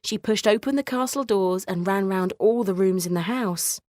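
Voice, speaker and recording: A woman storyteller giving a measured, expressive scripted narration of a children's bedtime story. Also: medium pitch, dry recording.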